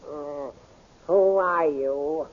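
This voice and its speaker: A man's voice: a little high, drawn-out words. A man playing a hesitant, grumbling court magician in an old radio drama, stammering with many ums. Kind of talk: 1930s radio drama acting. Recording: dull lo-fi old radio recording, faint hiss.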